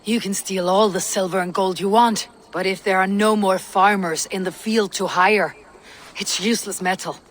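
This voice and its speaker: A woman's voice: medium pitch, steady tone, normal speed, natural voice. An actress playing a blunt, loyal Viking warrior woman in a game cutscene, terse and sardonic, with a wary edge. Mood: defiant, sardonic. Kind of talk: video game cutscene dialogue.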